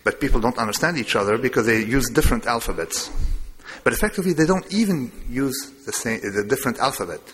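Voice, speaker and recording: A man's voice: mid-range voice, expressive, fast, a bit bright. A man from Lebanon giving a discursive public lecture, wandering between asides with a self-amused touch. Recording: long hall reverb.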